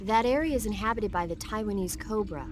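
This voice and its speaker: A woman's voice: medium pitch, fairly quick, noisy background, close to an everyday voice. A woman playing an earnest, bookish young medic who gives precise explanations over the radio in a video game. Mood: concerned, informative.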